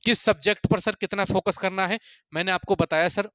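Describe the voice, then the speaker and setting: A man's voice: high-pitched, fairly even intonation, brisk, a bit bright, dry recording, studio quality. A man giving an informal exam-prep lecture, repeating his points and often checking in with students in a friendly way.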